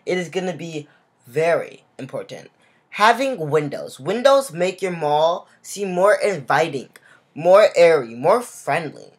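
Chatty young woman, slightly low voice. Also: slightly rough. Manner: chatty, frequent "like" and "guys", self-restarts. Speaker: game tutorial creator. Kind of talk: casual voice-over tutorial.

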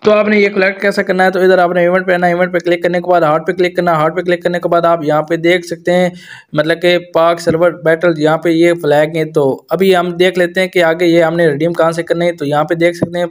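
A man with a Pakistani accent giving a casual, step-by-step gaming tutorial voice-over as a YouTuber. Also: a little high.

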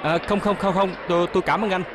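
A man's voice: high voice, steady tone, quick pace, a crowd in the background, lightly acted. A man playing a kind, humble farmer father in a children's cartoon, with a Southern Vietnamese accent and hesitant, stammering delivery. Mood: flustered. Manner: hesitant, stammering with many filler sounds.

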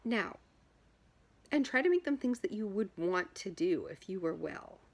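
Casting: woman, thirties, husky mid-pitched voice, emphatic self-help vlogger speaking conversationally. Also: sing-song, unhurried. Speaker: health vlogger giving self-help advice. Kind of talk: informal direct-to-camera coaching. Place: small furnished room at home, indoors.

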